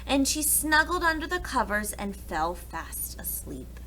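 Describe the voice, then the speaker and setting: Animated, slow-paced woman reading to children. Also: high-pitched, slight background noise, poor audio. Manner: dramatic, deliberate phrasing. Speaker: preschool read-aloud storyteller. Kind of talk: expressive children's story narration.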